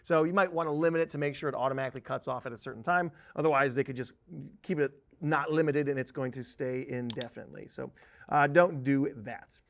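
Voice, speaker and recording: A man giving an informal software tutorial in a conversational, emphatic way with some joking. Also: mid-range voice, fast talker, creaky. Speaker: software trainer.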